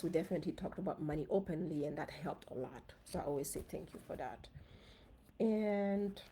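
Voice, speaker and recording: A woman from Haiti with a Haitian accent, a dentist giving an animated, casual livestream talk to her viewers on a phone. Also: low voice, a little hiss or hum. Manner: animated, talks straight to viewers. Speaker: dentist and finance coach.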